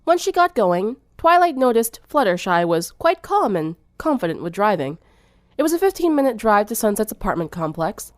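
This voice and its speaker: A woman's voice: medium pitch, big pitch swings, moderate pace, no echo, clean studio sound. A young adult woman reading scripted story narration. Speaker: fan fiction narrator.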